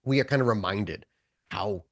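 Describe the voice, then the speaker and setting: A man acting as game master, narrating an improvised tabletop story in a casual, descriptive way with animated asides. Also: fairly low pitch, normal speed, no echo, clean studio sound.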